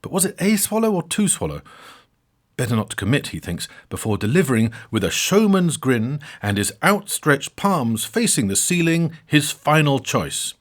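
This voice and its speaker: A man narrating a scripted comic story for a podcast, wry and theatrical with dramatic pauses. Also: fairly high pitch, big pitch swings.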